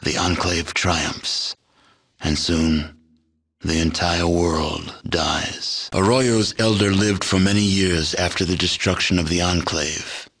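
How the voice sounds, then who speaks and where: A solemn narrator for a post-apocalyptic game. His voice sits very deep and has a husky, grainy edge. He delivers scripted storytelling with grave, deliberate weight. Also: fairly even intonation, a bit bright, heavily acted. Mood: grave, ominous.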